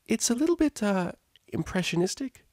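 A man voicing a kindly, easygoing older female pony who teaches art, with a gentle, friendly manner. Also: high-pitched, very animated, moderate pace, dry recording, studio quality, pitched above the performer's own voice. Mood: gently tactful. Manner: gentle, friendly, affectionate address.

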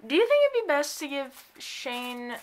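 A woman's voice: high-pitched, sing-song, normal speed. A young woman vlogger with a lisp, chatting casually and rambling in a small bedroom, filmed on a close camera. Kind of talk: casual chat.

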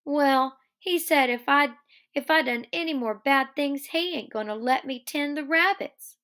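Woman reading as a childlike, fearful farmhand, pitched high. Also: fairly bright tone. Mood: miserable. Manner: simple, halting rural speech. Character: childlike simple-minded man, fearful, sorrowful farmhand. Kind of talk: dramatic reading of a novel.

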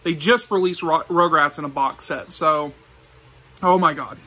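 A man's voice: on the high side, fairly even intonation, a little slow, sharp tone, rough recording. A young man hosting a casual vlog, talking to the camera like an enthusiastic fan. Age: young adult. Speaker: video host. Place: small indoor room with shelves of discs.

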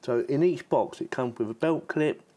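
A man's voice: mid-range voice, normal speed, dark tone. A man giving a casual, chatty hands-on product demonstration, informal and given to repeating himself. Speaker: product reviewer.

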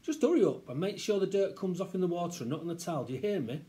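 A British man voicing an exasperated, bossy mother who scolds her son in a brisk, nagging way. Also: high-pitched, lively intonation, dark tone, voice pushed up. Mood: exasperated, scolding.

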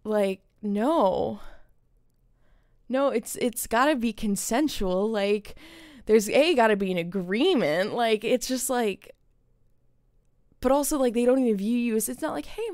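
A woman's voice: fairly high pitch, creaky, dry recording, clean studio sound. A young adult woman giving casual, opinionated commentary as a gaming streamer, speaking earnestly and emphatically with some swearing. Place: indoor streaming setup.

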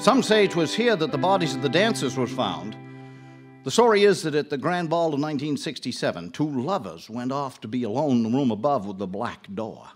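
An actor playing a superstitious, fearful rural caretaker in a live radio drama, telling things in an ominous, theatrical way. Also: male voice, mid-range voice, close to an everyday voice. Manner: ominous, theatrical, warning storyteller. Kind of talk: old-time radio drama acting.

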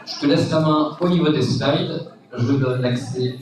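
A man's voice: fairly high pitch, measured pace, distant mic, a crowd in the background. A man hosting a science talk, introducing the speaker in an informal, joking and stumbling way. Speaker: event host. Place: large lecture hall. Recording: echoey lecture hall, from afar.

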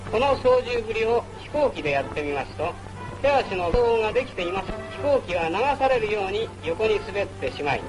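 A man's voice: sits high, sing-song, a little fast, low-quality recording. A man narrating a wartime military training film in a formal, instructional manner, on an old film soundtrack. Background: music.

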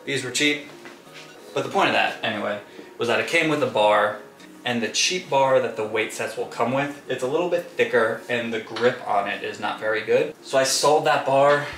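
A man's voice: medium pitch, fairly bright tone. A man in his thirties giving a casual tour of his small basement home gym, explaining his gear in a chatty way. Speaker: fitness vlogger. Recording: echoey basement room.

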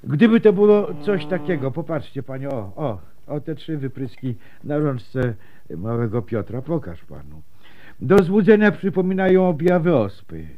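An actor playing a kindly, deferential milk-seller in a radio drama, with a hesitant manner. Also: male voice, on the high side, sing-song, moderate pace. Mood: concerned, deferential. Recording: slightly muffled, faintly noisy radio recording.

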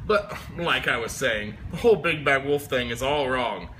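A man's voice: medium pitch, animated, moderate pace, pushed and bright. A man reading as a chatty, aggrieved storybook wolf who pleads innocence, in a folksy, emphatic way. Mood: mock innocent. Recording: noisy amateur phone video recording.

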